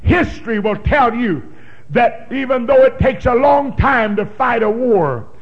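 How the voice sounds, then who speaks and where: A man preaching a sermon, reading scripture in a big booming voice and breaking into fervent shouts. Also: lively intonation, unhurried, lo-fi sound. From Oklahoma. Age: 60s. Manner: fervent, emphatic pulpit delivery with sudden shouts.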